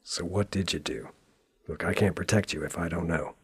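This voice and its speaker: An actor playing a wry, world-weary private detective who narrates a noir radio play in a sardonic, deadpan way. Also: male voice, low voice, normal speed, husky, exaggerated voice. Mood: coolly probing. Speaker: narrator and lead character.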